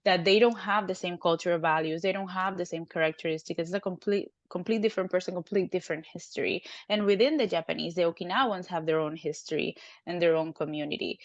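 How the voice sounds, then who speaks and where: Cuban-born woman academic, talks with animated emphasis, lower range, dull dry lo-fi recording. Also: no echo. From Cuba. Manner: animated, frequent "right?" tag questions. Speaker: academic guest. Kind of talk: conversational interview.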